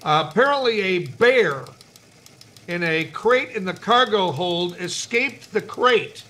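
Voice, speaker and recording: A man's voice: high voice, lively intonation, takes it slowly, sharp tone. A middle-aged American man telling wry, rambling anecdotes and reading light news in a casual co-host style. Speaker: podcast co-host.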